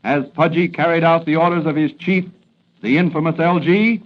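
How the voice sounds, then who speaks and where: A man's voice: on the high side, steady tone, moderate pace, close to an everyday voice. An actor as a dramatic male announcer narrating an old-time radio serial. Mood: suspenseful. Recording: dull lo-fi vintage radio recording.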